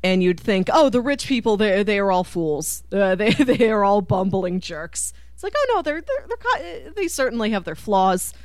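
A woman's voice: medium pitch, very animated, moderate pace, few pauses, a little mellow, dry recording. A woman co-hosting a podcast, talking casually about a film and animatedly voicing what people might be thinking. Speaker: podcast co-host. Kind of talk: casual film discussion chat.

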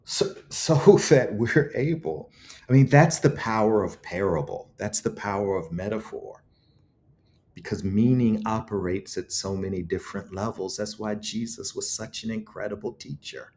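A man's voice: fairly low pitch, normal speed, a little mellow. A man speaking as an interview guest, reflective and eloquent, with emphatic, preacherly storytelling and rhetorical repetition. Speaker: guest author.

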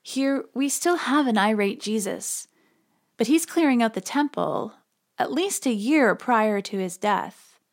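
A woman's voice: on the high side, expressive, a little slow. A woman podcast host giving conversational religious commentary in an informal, explanatory way.

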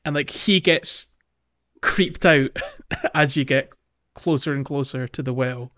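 A man's voice: mid-range voice, slow, bright, forceful tone. A man casually recapping a video game as a podcast host, explaining in a hesitant, giggly way. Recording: slightly dull audio, music underneath. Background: background music.

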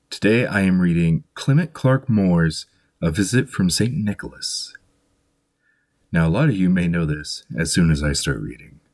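A man with a low-pitched voice reads aloud in a theatrical storybook style. His delivery swings widely in pitch, with deliberate emphasis on the phrases. The tone is husky, and the sound is close and dry with little room around it. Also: a little mellow, no echo. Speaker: podcast host reading a poem aloud. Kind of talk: expressive storybook reading of holiday verse.

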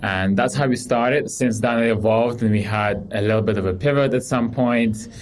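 A man in his thirties from Ukraine, a startup CEO guest telling his story in a relaxed, rambling way with many fillers. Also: on the low side, normal speed. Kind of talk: casual interview talk.

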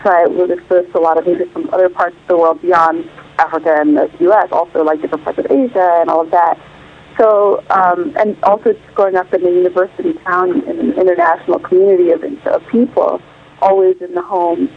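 A woman's voice: fairly low pitch, fairly even intonation, fairly quick. A woman singer interviewed by phone, from Illinois, speaking thoughtfully and searching for words with frequent fillers. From Illinois, United States. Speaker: guest singer. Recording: tinny phone line.

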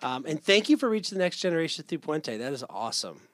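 Lively, animated podcast co-host. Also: medium pitch, fast talker, dry recording, clean studio sound. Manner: animated, playful, fond of mimicking voices. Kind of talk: casual conversation.

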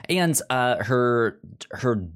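Halting, stuttering podcast host in casual discussion. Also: mid-range voice, expressive, a bit bright, smooth. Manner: halting, with frequent stuttered repeats of sounds and words.

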